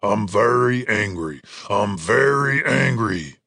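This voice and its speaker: A man voicing a gruff, blunt barbarian warrior with a slow, laid-back delivery in an audiobook. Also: on the low side, expressive, bright, forceful tone, no echo, studio quality, heavily acted. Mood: gruff, intense.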